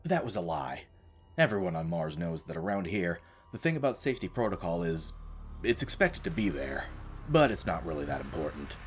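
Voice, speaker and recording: A man's voice: sits low, sing-song, brisk, a little hiss or hum. A man narrating an audio drama as a storyteller, with a wry, informal turn of phrase.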